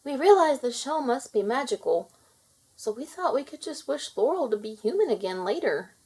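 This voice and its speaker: A woman voicing a shy, sweet young mermaid girl in a storybook, soft and earnest. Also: sits high, sing-song, moderate pace, breathy edge, pitched above the performer's own voice, heavily acted.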